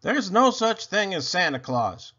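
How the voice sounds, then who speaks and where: Man playing a scoffing know-it-all boy, high and lively, storybook voice, dull lo-fi sound. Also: measured pace, full character voice. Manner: insistent, scoffing. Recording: dull lo-fi recording.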